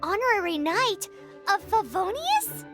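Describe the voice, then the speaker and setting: A tiny fairy companion from a video game, squeaky and very high. She is excitable and exclaims in big swoops, yet takes her words unhurriedly. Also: unhurried, over music, exaggerated voice. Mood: surprised. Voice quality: squeaky, childlike.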